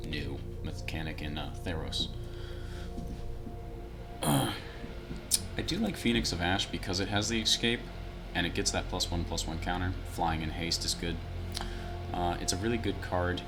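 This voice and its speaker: A man with a very low voice chatting casually as he builds a card deck on a late-night stream, thinking aloud with fillers, picked up by a sensitive desk mic. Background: background music.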